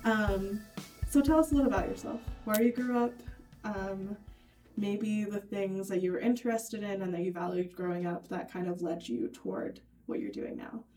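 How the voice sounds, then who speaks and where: Woman, podcast host, gentle questions, background music. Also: medium pitch, moderate pace, low-quality recording. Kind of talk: scripted intro and interview questions.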